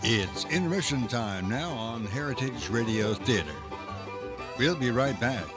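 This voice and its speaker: A man presenting an old-time radio programme in a measured, scripted announcing style. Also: medium pitch, lively intonation, loud music behind the voice.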